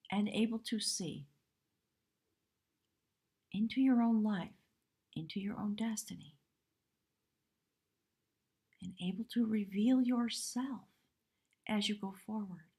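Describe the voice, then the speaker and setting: A woman with a hoarse voice giving a live spiritual tarot reading in measured, reverent short phrases. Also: medium pitch, mellow. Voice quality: husky, breathy. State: hoarse from much talking. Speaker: tarot reader, live stream host.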